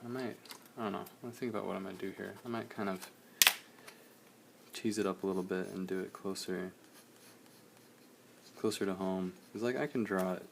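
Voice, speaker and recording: A young man, slightly hoarse, chatting casually in a relaxed, rambling way while he streams a drawing session. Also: sits low, fairly quick, pauses often, background noise. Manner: relaxed, rambling, many fillers.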